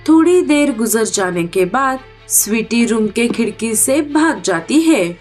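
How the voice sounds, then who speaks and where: A woman narrator with a fairly high voice and an unhurried pace. She reads a children's cartoon story with music behind her. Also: expressive, darkish tone.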